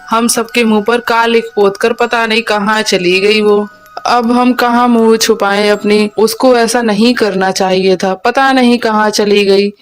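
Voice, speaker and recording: A scheming queen mother in an animated fairy tale, voiced by a woman, with a slightly high voice. She is theatrical and two-faced, and soft music plays behind. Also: natural voice. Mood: theatrical wailing. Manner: sweet-talking, theatrical. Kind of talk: cartoon voice acting.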